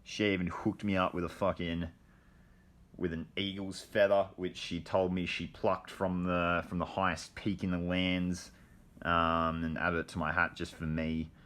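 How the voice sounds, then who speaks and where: Low voiced guy spinning a loose sweary story, repeats stuff for drama, super casual. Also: flat delivery, unhurried, fairly bright tone. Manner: rambling, sweary, slangy.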